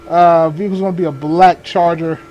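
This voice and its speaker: A man with a fairly high voice plays a patrol deputy in a video game. He gives clipped radio-style updates and drops into casual muttered asides. Vehicle noise runs faintly behind him. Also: fairly even intonation, fairly quick, a little mellow, muffled recording. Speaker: gamer playing a sheriff's deputy in a police patrol role-play. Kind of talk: improvised police radio call-outs and casual gameplay commentary. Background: game vehicle and ambient sounds.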